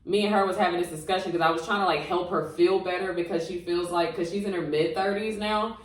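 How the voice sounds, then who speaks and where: Animated Southern woman in her twenties. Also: lowish voice, fast, far from the mic. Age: 20s. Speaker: podcast co-host. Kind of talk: casual chat. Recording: echoey room, voice off-mic.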